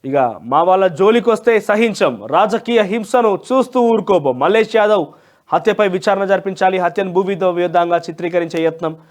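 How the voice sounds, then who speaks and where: High-pitched Telangana Telugu male news presenter. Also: normal speed. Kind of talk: newspaper review with opinionated commentary.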